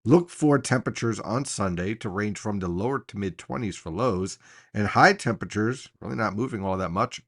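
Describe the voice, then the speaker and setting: A man with a fairly low voice talks through a weather forecast. He explains it in an informal briefing style, putting emphatic stress on the key points.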